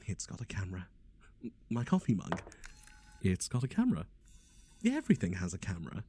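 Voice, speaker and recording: A man's voice: deep voice, huge pitch swings, fairly quick, warm tone, slight background noise, exaggerated voice. A man playing a flippant, scatterbrained matchmaking agency boss in an ASMR roleplay, rambling offhandedly and muttering asides to the listener. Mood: blasé, darkly comic. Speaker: voice actor.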